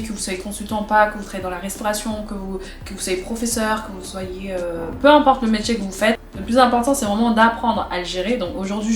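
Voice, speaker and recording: A young woman with a cold, talking casually to camera in a chatty way and repeating phrases for emphasis. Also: medium pitch. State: has a cold, stuffy. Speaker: vlogger. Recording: echoey room recording.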